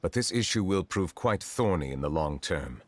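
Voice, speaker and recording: Very deep, solemn judge. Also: male voice, expressive, normal speed. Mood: grave. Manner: formal, grave, precise. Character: solemn, dignified male judge, secretly an ancient dragon. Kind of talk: video game voice acting. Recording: music behind the voice.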